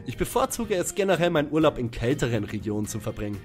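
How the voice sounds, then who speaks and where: An actor playing a stiff, polite, aloof young detective in a parody audio play. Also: male voice, mid-range voice, sing-song, fast talker, darkish tone, faint music behind, close to an everyday voice. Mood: formal.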